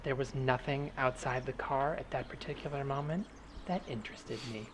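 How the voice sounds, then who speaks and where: A man's voice: mid-range voice, moderate pace, lightly acted. A defensive wife, played by a man in an improvised comedy sketch, evasive and full of feigned innocence. The podcast recording has faint noise behind it. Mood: evasive, nonchalant.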